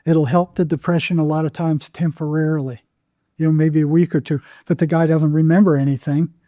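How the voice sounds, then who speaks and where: An older man speaks as a therapist being interviewed, recounting things conversationally. His voice sits a little high yet has a very mellow, muted tone. He halts and repeats words as he goes, and the recording is dry and close. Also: fairly even intonation, dry recording. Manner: halting, repeats words, acts out remembered exchanges. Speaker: psychotherapist guest.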